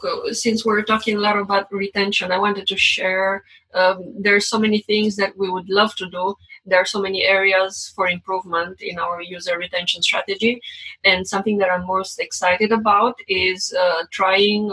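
A woman's voice: mid-range voice, sharp tone, slightly rough, rough recording. A woman from Romania with a Romanian accent, a guest speaking casually in an interview, hesitant with many fillers and restarts.

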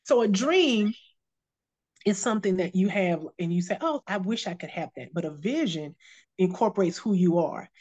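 A woman's voice: mid-range voice, lively intonation, normal speed, a little mellow, lo-fi sound. Earnest motivational woman in her fifties. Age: 50s. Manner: earnest and motivational, repeats phrases for emphasis. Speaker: health and life coach. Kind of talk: casual podcast chat.

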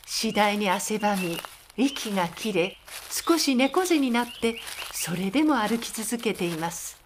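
A woman voicing a calm storyteller, narrating a scripted Japanese audio drama. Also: medium pitch, measured pace, background noise, lightly acted. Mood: calm narration.

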